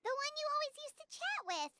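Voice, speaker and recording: A woman voicing a tiny, chirpy fairy girl companion in a video game, squeaky and childlike, earnest and curious. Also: very animated, brisk, very noisy. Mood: puzzled, earnest.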